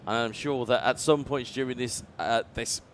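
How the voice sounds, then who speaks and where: A male esports commentator giving play-by-play commentary on a football video game, building up and booming on big chances. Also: steady tone, normal speed, a little hiss or hum. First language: English.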